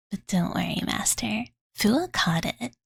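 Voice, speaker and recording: A woman's voice: mid-range voice, creaky, fairly bright tone, husky, no echo, heavily acted. A cozy young dragon girl, played by a woman, whispers very breathily, right up close in a dry space. She takes her time in an ASMR bedtime roleplay, sounding cutesy, ditzy and doting. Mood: playful, cozy. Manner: ditzy valley-girl filler talk, cutesy third-person self-reference. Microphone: close Blue Yeti condenser mic.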